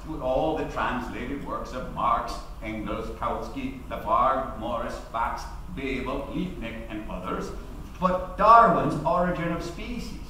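A man's voice: mid-range voice, pushed and bright. Echoey, theatrical actor as male courtroom orator. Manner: formal, rhetorical, emphatic. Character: 1919 labour activist defending himself at trial, eloquent and defiant. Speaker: actor giving a dramatic reading. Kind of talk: theatrical courtroom defence oration. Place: large hall, at a podium. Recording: echoey hall, distant mic, faint noise.

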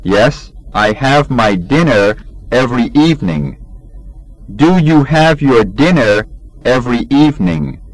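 A man reading an English textbook lesson aloud in a measured, deliberate teaching manner, enunciating each drill sentence. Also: medium pitch, lively intonation, dry recording.

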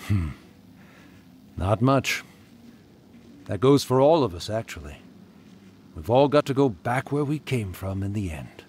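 A man's voice: fairly low pitch, expressive, measured pace, long pauses, darkish tone, slight background noise. A man voicing an ancient spirit storyteller who is wry, resigned and fond of an old friend, in a video game. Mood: wistful.

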